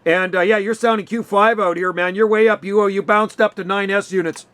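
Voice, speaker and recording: A man's voice: high-pitched, fairly even intonation, fairly quick, very noisy. A man of about sixty, chatting in a chummy, casual way as an amateur radio operator. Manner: chummy, complimentary. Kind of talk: casual radio chat with call phrases.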